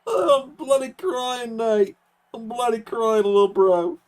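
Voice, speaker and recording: A man's voice: very high-pitched, lively intonation, unhurried. A man voicing a gleeful, cocky, mocking wrestler in a video game story, with a matey, gloating manner. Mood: hysterical glee.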